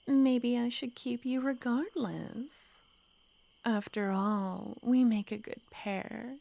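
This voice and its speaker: A woman voicing a clingy, menacing yandere witch in an ASMR roleplay, speaking in a soft, coaxing whisper. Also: a little high, fairly even intonation, slow pace, creaky, dry recording.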